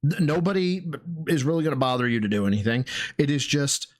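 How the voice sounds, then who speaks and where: A man's voice: medium pitch, lively intonation, brisk. A man streaming a reaction video, talking casually and swearing freely. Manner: profane, blunt, rambling. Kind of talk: casual livestream commentary.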